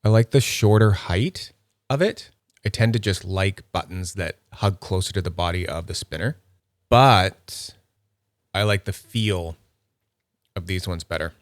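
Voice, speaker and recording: A man with a slightly low voice talks casually through an unboxing, thinking aloud as he handles each item. He sounds nerdy and upbeat. The sound is close and dry, with no room echo. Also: measured pace. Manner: chatty, thinks aloud while handling items. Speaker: YouTube fidget toy reviewer.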